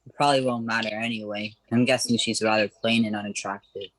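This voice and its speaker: A man's voice: fairly low pitch, fairly even intonation, quick pace, a bit bright, close to an everyday voice. A man playing a wisecracking, streetwise buddy in a radio comedy play, teasing and slangy.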